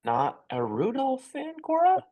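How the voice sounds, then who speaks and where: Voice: sits high, huge pitch swings, unhurried, lo-fi sound, exaggerated voice. An actor playing a cheerful, easygoing male schoolteacher at a table read, coaxing and upbeat as if to a class. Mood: encouraging.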